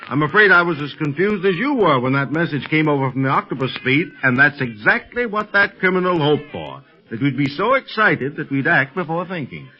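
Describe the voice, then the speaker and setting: A man's voice: fairly high pitch, very animated, a little fast, few pauses, breathy edge. An actor playing a level-headed, fatherly doctor in a 1930s radio serial, reasoning emphatically and reassuringly. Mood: earnest, reasoning. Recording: muffled 1930s radio recording, music behind.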